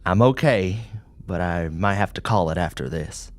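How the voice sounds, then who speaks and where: A man's voice: low-pitched, normal speed, dry recording. A man voicing an uneasy, defensive police officer in an audiobook, hesitant and brusque, covering dread with humor. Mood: sheepish, unsettled. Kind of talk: audiobook character dialogue.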